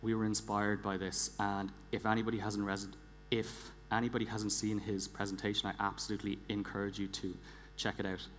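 Low-voiced man presenting in an echoey hall. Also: little pitch movement, fairly quick, fairly bright tone. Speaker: conference speaker, engineering director. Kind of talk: prepared conference presentation on stage. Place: large theatre stage, indoors. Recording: echoey large hall, clear background hum.

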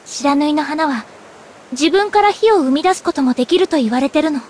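A woman playing a gentle, quiet teenage girl in an anime game, speaking in a reserved, sincere way. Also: high-pitched, animated, a little fast. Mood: calm, solemn. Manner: soft-spoken, reserved, sincere.

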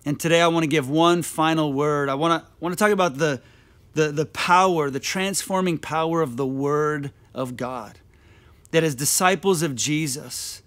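A man in his thirties delivers a sermon with slow, deliberate pacing and a slightly raised pitch. He speaks earnestly, circling back on phrases as he presses his point. He stands on a dark indoor stage, talking to a camera at mid distance. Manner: earnest, repeats phrases, gestures while preaching. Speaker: pastor.

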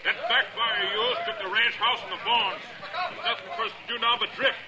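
A man's voice: sits high, steady tone, a little fast, over a noisy crowd, very noisy, close to an everyday voice. An actor playing a bitter, vengeful rancher gunman in a 1950s radio western, speaking with menace. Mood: menacing, bitter. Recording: old radio recording, loud background clamor.

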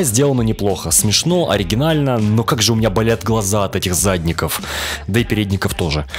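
A young man from Russia delivering a scripted, wry review as a voice-over. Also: mid-range voice. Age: young adult. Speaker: video reviewer. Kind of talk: scripted cartoon review narration. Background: background music.

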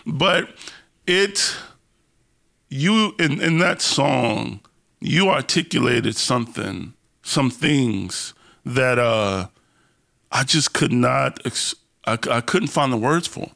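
A man's voice: medium pitch, lively intonation, slow, creaky, bright, forceful tone. A man hosting an interview in a casual, candid, slangy way, poking fun at himself and often repeating phrases as he searches for words. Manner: candid, slangy, self-deprecating. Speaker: podcast host interviewing a guest. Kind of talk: casual, personal interview chat.

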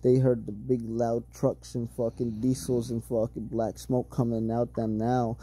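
Man, amateur a cappella freestyler, very dark and mellow tone, rambling and foul-mouthed. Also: mid-range voice, little pitch movement, a little slow. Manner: rambling, heavily profane flow.

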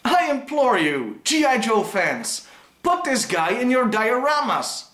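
Lively male reviewer in an echoey room. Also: extremely high pitch, slow. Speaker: toy review host. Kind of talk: scripted presenting to camera. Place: living room, on a sofa.

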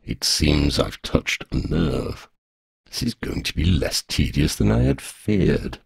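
A vast, scheming she-dragon, voiced by a male storyteller, speaking slowly with rumbling, gloating menace. The voice is very low and gravelly. The recording is close and dry. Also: sing-song, no echo, full character voice. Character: huge scheming female dragon, smug and menacing.

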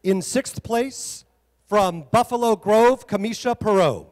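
A man's voice: high-pitched, takes it slowly, a little mellow. A man working as an awards announcer, making ceremonial announcements to an audience at an event. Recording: event hall with music and crowd.

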